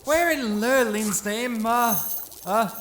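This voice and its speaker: Bright, blustering cowardly lion. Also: mid-range voice, takes it slowly, a little hiss or hum. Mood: puzzled. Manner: anxious bluster. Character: big, cowardly, nervous, blustering talking lion. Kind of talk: full-cast audio drama acting.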